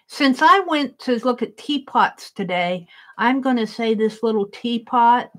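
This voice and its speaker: Lady talking to herself at her craft desk, slow and loud, musing as she draws, overhead cam. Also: on the high side. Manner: musing, chatty, talks to herself as she works. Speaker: art journaling creator. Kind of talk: casual self-talk while drawing. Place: home craft table, indoors.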